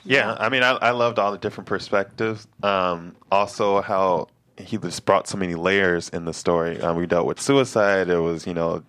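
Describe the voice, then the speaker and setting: A man's voice: low-pitched, fairly even intonation, normal speed, few pauses, fairly bright tone, clean tone, no room sound. A man actor in a casual panel discussion, easygoing and hesitant with fillers. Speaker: actor in a table read.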